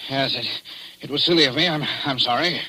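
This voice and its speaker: A man's voice: mid-range voice, a little fast, pushed and bright, low-quality recording. An actor playing a nervous man in a 1940s radio drama, stammering and easily agitated. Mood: sheepish. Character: nervous, jumpy male traveler being arrested. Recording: hissy vintage 1940s radio recording.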